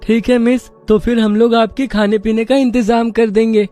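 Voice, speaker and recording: A man's voice: very high voice, little pitch movement, normal speed, warm tone, soft background music. A man voicing an earnest, respectful poor village schoolboy in a children's story. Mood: respectful, eager. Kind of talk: children's moral story voice acting.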